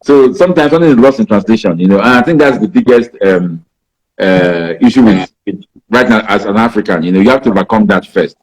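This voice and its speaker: A Nigerian man in his fifties, a native Igbo speaker, answers interview questions about writing in a rambling way, filling pauses with "you know". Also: on the low side, animated, low-quality recording. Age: 50s. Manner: rambling, many "you know" fillers and restarts. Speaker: writer being interviewed.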